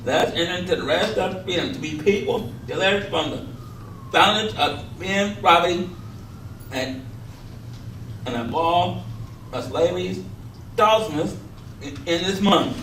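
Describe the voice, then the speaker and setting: A man's voice: high-pitched, measured pace, pauses often, fairly bright tone. A man delivering a scripted exhortation with a raised voice at a readers theater rehearsal. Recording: echoey room, distant mic, faint noise.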